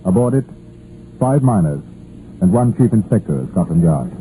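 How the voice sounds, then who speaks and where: An actor playing a composed Scotland Yard chief inspector who narrates his case in measured, deliberate phrases in a 1950s radio crime drama. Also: deep voice, sing-song, noisy background. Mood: grave, reflective. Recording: muffled old radio recording with faint music.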